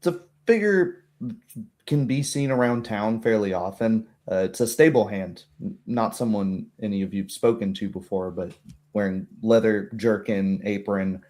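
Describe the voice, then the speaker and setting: Slow, mellow, low-voiced man running a game. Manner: hesitant, halting delivery. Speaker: game master. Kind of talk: improvised tabletop game narration.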